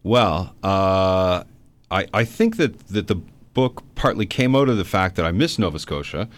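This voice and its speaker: A man being interviewed about his book in a radio studio, talking in a relaxed, hesitant way with many fillers. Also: low-pitched, a little slow. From Canada. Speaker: author guest.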